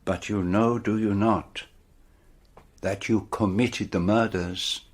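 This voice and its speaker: An actor playing a proud, shrewd detective with a Belgian French accent in a radio play, speaking formally and precisely. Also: male voice, fairly low pitch, fairly even intonation, slow pace. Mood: gentle, pressing.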